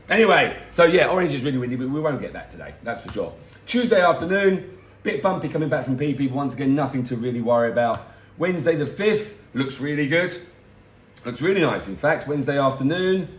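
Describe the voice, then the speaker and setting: A middle-aged man with a hoarse voice that is going, giving a casual, rambling weather forecast from home with self-mocking asides. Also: mid-range voice, echoey room. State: hoarse, losing his voice. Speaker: weather forecast host for a boat tour company. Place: home, in front of a garden.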